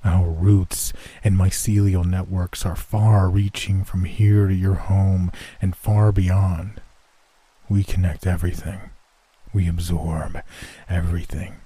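A sinister, ancient tree being speaks for a horror story, acted by a man. The voice is very low and monotone, drawn out slowly and deliberately, with a cold, unimpressed menace. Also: slightly rough, no room sound, heavily acted. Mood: cold, menacing. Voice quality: deep. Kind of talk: horror story narration, character voice.

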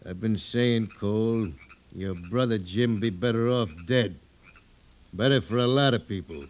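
Man playing a low, callous radio western outlaw. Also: unhurried, lightly acted. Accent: American. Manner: taunting, clipped, needling. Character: hard, callous, defiant male outlaw spoiling for a fight. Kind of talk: old-time radio western acting.

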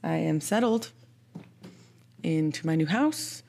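Woman, low voice, stuffy and sniffly, chatty podcast co-host. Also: expressive, takes it slowly, gravelly, darkish tone. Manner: chatty, laughs at her own complaints. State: congested from a cold, sniffly. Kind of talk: casual chat.

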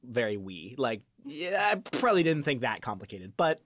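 A man's voice: mid-range voice, very animated, normal speed, fairly bright tone, no room sound, clean studio sound. A young man speaking as a guest voice actor in a casual interview, with a hesitant, rambling delivery.

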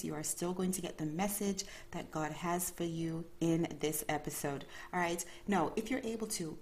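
Low-voiced woman hosting a faith podcast. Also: a little mellow, slight background noise. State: early-morning voice, a bit calmer than usual. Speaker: podcast host.

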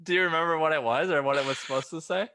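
A man's voice: fairly high pitch, very fast, sharp tone, no room sound, studio quality. A man co-hosting a podcast, asking long, loose questions full of "like" and "you know.". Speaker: podcast co-host. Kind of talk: casual interview questions.

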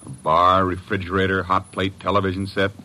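An actor playing a plain-spoken, friendly helper to a detective in an old-time radio drama, casual in manner. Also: male voice, low voice, little pitch movement, a little fast, pushed and bright. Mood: matter-of-fact. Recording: noisy old radio recording.

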